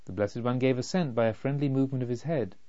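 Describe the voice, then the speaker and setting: A man reading a literary story as a narrator, with measured, deliberate phrasing. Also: mid-range voice, mellow. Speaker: audiobook narrator. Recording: slightly dull, lo-fi.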